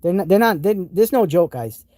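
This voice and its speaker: A man's voice: high-pitched, lively intonation, normal speed, a little mellow, dry recording, clean studio sound. A man with a New England accent hosting a live tool stream, showing off tools and answering chat in an enthusiastic, rambling way with many restarts. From New England, United States.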